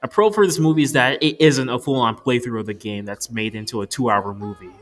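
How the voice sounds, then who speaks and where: A man's voice: medium pitch, a little fast, fairly bright tone. Young man giving an informal movie review. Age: 20s. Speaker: video reviewer. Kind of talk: opinionated scripted movie review narration.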